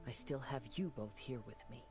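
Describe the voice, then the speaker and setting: A composed young swordsman from a video game played by a man, mid-pitched and resolute. His delivery is measured, with music clearly behind it. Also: natural voice. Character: calm, resolute young male wandering swordsman.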